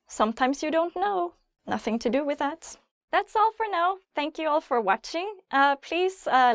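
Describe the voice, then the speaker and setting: High-pitched Norwegian woman teaching phrases slowly. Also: dry recording, clean studio sound. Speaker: language lesson host. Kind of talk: instructional phrase lesson with repeats and casual explanations.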